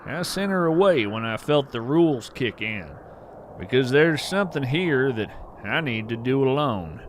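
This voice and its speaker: A man playing an earnest wandering naturalist in scripted audio fiction, narrating in a measured, poetic way with deliberate pauses. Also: mid-range voice, animated, slight background noise, lightly acted. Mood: careful, reassuring. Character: earnest, wonder-struck wandering naturalist of hidden creatures. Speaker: podcast host narrator in character.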